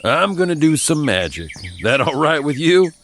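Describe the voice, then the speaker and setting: A man's voice: on the high side, big pitch swings, moderate pace, a bit bright, pitched above the performer's own voice. A man voicing a kindly, sure old American country grandfather in a storybook tale, terse and confiding. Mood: gruff, playful.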